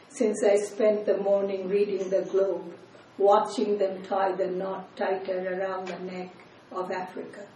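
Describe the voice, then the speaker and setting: An older woman from India, whose first language is Bengali, reads her poems slowly, letting line endings fall away softly. Her voice is mellow and unhurried. She is heard from some distance in an echoey room, with a faint hum of ambience behind her. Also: mid-range voice. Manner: slow, measured reading with soft, trailing line endings. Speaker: poet. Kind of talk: poetry reading with spoken asides. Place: reading event in a room.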